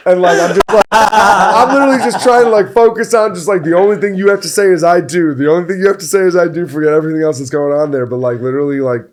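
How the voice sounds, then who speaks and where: A man's voice: high voice, quick pace, few pauses. A man, a restaurant co-owner guest, chatting casually and animatedly on a podcast, swearing freely and filling his talk with "like.".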